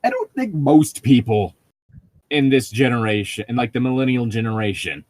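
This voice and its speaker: A man's voice: medium pitch, expressive, moderate pace, a little mellow, no room sound. A young man chatting casually and reading aloud, with sarcastic, exasperated, swear-heavy commentary. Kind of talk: casual chat with mocking read-aloud commentary.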